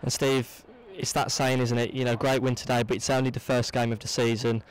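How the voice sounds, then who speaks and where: A man's voice: mid-range voice, level tone, fairly quick, smooth, rough recording. A man interviewing for a football club, asking fluent, presenterly post-match questions.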